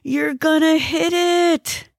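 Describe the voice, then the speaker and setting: A woman's voice: on the high side, big pitch swings, a little slow, darkish tone, dry recording, studio quality, voice pushed up. A woman voicing a timid, polite, anxious sidekick in an audiobook, hesitant and cautioning. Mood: alarmed. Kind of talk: audiobook character voice.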